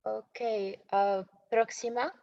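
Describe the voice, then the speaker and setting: Woman, non-native speaker, slow, hesitant slide presenter, dull lo-fi call audio. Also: on the high side, fairly even intonation, gravelly, dark tone. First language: not Spanish. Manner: halting, frequent fillers and self-corrections. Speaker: webinar presenter.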